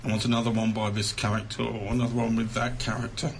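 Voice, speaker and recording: A man's voice: fairly low pitch, level tone, quick pace, a little hiss or hum. A man being interviewed as an author, chatting conversationally with self-deprecating humour.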